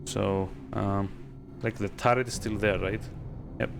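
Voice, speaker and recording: Slow-talking sleepy man streaming a game. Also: lowish voice, steady tone, gravelly. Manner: sleepy, bored, halting delivery. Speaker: streamer playing a video game. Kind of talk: casual live game commentary. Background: game music.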